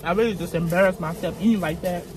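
A woman's voice: fairly low pitch, fast, a little mellow, a little hiss or hum. A woman, talking casually to camera from a parked car, blunt and outspoken. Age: 20s. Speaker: vlogger. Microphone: phone camera on dashboard.

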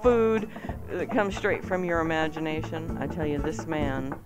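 Female radio host, lowish voice, animated delivery for ad reads and banter, music bed behind. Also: unhurried. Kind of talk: lively radio ad read and banter. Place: radio studio.

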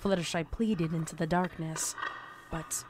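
A man's voice: on the high side, steady tone, a little slow. Male horror story narrator over noisy ambience. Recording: clear background noise and ambience.

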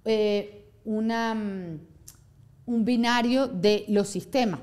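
A woman in her thirties, an orthodontist, giving a live seminar to a small room and often checking in with "¿ok?". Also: medium pitch. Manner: animated, gestures a lot, checks in with "¿ok?". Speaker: orthodontist lecturer.

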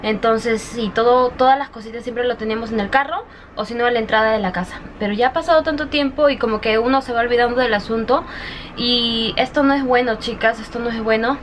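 Young female vlogger, higher-pitched voice, chatty and confiding, handheld camera with noticeable background noise. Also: a little fast. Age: young adult. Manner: chatty, often addressing viewers directly. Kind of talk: casual confiding vlog chat. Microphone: camera at arm's length.

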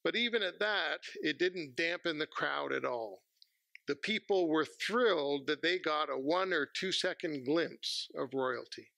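A man, preaching a measured, earnest sermon from a church pulpit on a headset mic. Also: fairly high pitch, creaky, pushed and bright, no room sound. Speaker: pastor.

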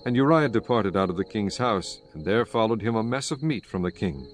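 A man with a fairly low voice narrates scripture. His delivery is measured and solemn, as a scripted reading, and music plays clearly behind him. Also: expressive.

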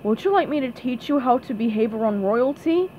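A woman's voice: fairly high pitch, expressive, brisk, dark tone, highs cut off, slight background noise, close to an everyday voice. A woman voicing a snobbish, gloomy male squid from a cartoon storybook, haughty and easily exasperated. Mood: reluctant. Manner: haughty, condescending.

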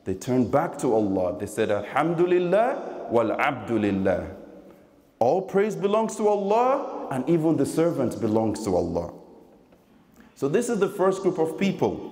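A man delivering a Friday sermon in a deliberate, emphatic way into a stand microphone in a large mosque. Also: mid-range voice, very animated, dark tone. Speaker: preacher giving a Friday sermon. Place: large mosque prayer hall, indoors. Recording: echoey hall with long reverb.

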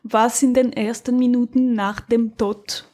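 Woman, somewhat high voice, podcast co-host, hesitant, laughs often. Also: little pitch movement, measured pace, mellow. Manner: hesitant, many fillers and restarts, laughs often. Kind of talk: casual chat about radio dramas.

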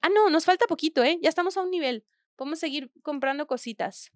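Young Mexican woman, game streamer, high voice, slangy, chatty, dry close sound. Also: fast, dry recording. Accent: Mexican Spanish. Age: young adult. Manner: chatty, slangy, thinks aloud while playing. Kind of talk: casual gameplay commentary. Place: small indoor room at home.

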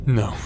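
A man acting as a bitter, broken warrior in a dramatic audio roleplay, raw and halting in his delivery. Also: a bit bright. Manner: anguished, halting, emotionally raw.

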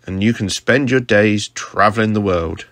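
A man playing a steady hero ranger in an audio drama. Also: on the low side, normal speed, no echo. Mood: reassuring. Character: Mark, steady, reassuring male hero ranger. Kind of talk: audio drama character acting.

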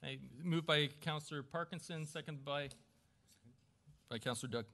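A man speaking as mayor at a council meeting, running through formal procedure in a halting, self-deprecating way with informal asides. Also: a little high, pushed and bright. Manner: halting, self-deprecating, stumbling over names. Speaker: newly elected mayor chairing a council meeting. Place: council chamber with a small audience.

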